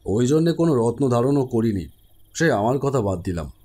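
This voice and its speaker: A man voicing a frank, sceptical middle-aged parent in cartoon story dialogue, with a measured manner. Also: medium pitch, animated, warm tone, close to an everyday voice. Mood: frank, doubtful.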